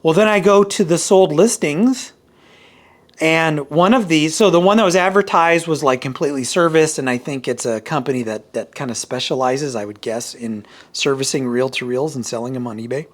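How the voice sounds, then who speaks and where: A man with a moderately high-pitched voice talks through a casual gear review. He rambles, backs up to rephrase and thinks aloud as he goes. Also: normal speed. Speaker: video reviewer of vintage hi-fi gear. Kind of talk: casual product review talk.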